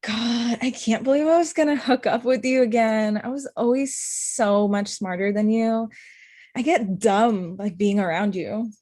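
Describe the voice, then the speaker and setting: Woman playing a blunt ex-girlfriend, higher voice, dry sound. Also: a little slow, darkish tone, no echo. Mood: exasperated. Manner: blunt, confrontational. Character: Amita, blunt, hurt ex-girlfriend, thirty-five, sharp-tongued lawyer. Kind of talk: live table read of a comedy-drama script.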